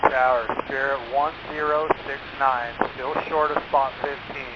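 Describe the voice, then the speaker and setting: A man's voice: medium pitch, steady tone, a little slow, crowd noise. A man, an airline pilot making conversational calls over aviation radio. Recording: very noisy muffled cockpit radio.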